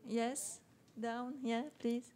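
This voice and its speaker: A woman's voice: on the high side, monotone, dark tone. Woman moderating a conference panel. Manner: measured, with frequent filler pauses. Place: large conference hall stage, seated panel.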